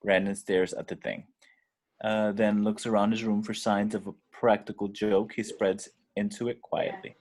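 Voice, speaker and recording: Low-voiced man reading stage directions. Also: little pitch movement, moderate pace, darkish tone. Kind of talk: scripted narration at a table read.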